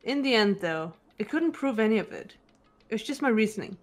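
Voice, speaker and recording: A woman voicing a composed, analytical teenage girl in a measured, formal way for a fan-voiced visual novel. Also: fairly high pitch, lively intonation, faint music behind. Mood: calm, confident.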